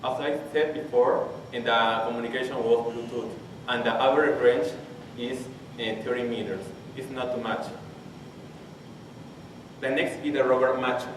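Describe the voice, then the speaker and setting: A man's voice: mid-range voice, fairly even intonation. Young Spanish-accented man in an echoey hall. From Peru. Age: 20s. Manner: halting, restarts phrases while searching for English words. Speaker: conference presenter. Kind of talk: technical conference talk. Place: large conference hall. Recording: very echoey hall, distant mic, faint hiss.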